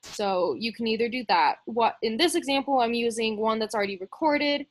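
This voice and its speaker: A woman's voice: fairly high pitch, brisk. A woman teaching music production in a casual, informal way, explaining step by step and thinking aloud as she demonstrates. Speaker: music production tutorial instructor.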